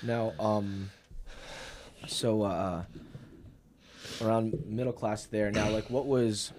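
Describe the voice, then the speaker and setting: A man co-hosting a podcast who asks questions casually and haltingly, often restarting them. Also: lowish voice, steady tone, a little mellow, slight background noise. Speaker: podcast co-host.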